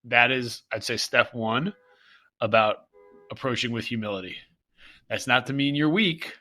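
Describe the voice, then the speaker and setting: A man co-hosting a podcast with a bright, forward tone and mid-range pitch. He sounds a little stuffed up, but he stays upbeat and playful as he explains with images and metaphors. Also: moderate pace. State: congested and stuffy. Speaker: podcast co-host. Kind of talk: casual podcast chat.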